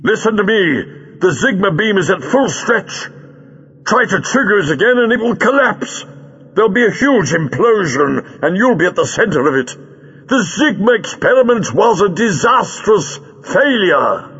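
A man's voice: sits high, very animated, moderate pace, a bit bright, breathy edge, big-room sound, dull audio, pitched above the performer's own voice, heavily acted. A man voicing a heroic doctor in an audiobook, urgent and emphatic as he commands.

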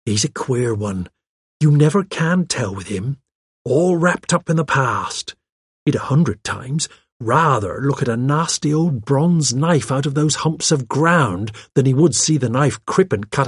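A man's voice: medium pitch, expressive, unhurried, breathy edge, no room sound, clean studio sound, voice pushed up. A man voicing a chatty, arch young woman with a gushing, pert, colloquial way of talking, as in an audiobook. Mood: chatty, puzzled. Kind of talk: audiobook character voice.

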